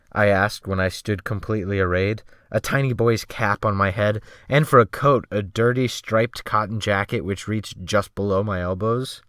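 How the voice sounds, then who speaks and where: A man narrating an audiobook as a dramatic reading, with measured, formal and emphatic phrasing. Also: lowish voice, fairly bright tone, smooth, dry recording, studio quality.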